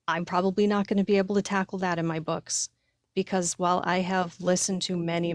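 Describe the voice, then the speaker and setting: A woman with a fairly low voice and noticeable vocal fry, recorded close and dry. She talks casually as a podcast co-host, with a wry, conversational manner. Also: dry recording.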